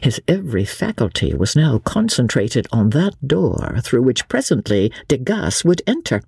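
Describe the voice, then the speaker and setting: Gravelly lady reading an audiobook, super dramatic, pitch swings a lot, suspenseful. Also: very animated, normal speed, darkish tone, no echo, clean studio sound. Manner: theatrical, suspenseful. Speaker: audiobook narrator. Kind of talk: dramatic scripted narration.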